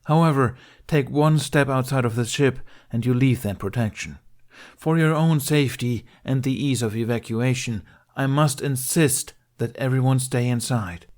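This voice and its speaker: A man acts out a Jedi master in a science fiction audiobook. He is calm and commanding, with formal, carefully enunciated phrases. The voice is raised and projected with steady authority. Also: medium pitch, normal speed, voice pushed up, close to an everyday voice. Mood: stern, insistent. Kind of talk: acted audiobook dialogue in a science fiction story.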